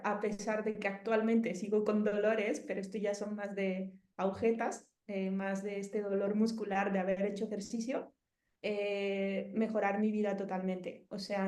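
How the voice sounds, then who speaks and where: A woman's voice: mid-range voice, level tone, moderate pace, dark tone, roomy sound. A Mexican woman in her thirties with a Mexican Spanish accent, chatting casually as an interviewee and rambling with many fillers and restarts. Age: 30s.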